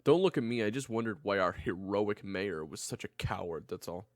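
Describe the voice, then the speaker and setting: A man's voice: on the low side, fairly quick, dry recording, studio quality, lightly acted. A man playing a brash, inquisitive young investigator who prods and needles in a fantasy audio drama. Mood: defiant, cocky. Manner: prodding, casual, animated. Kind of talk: fantasy audio roleplay acting.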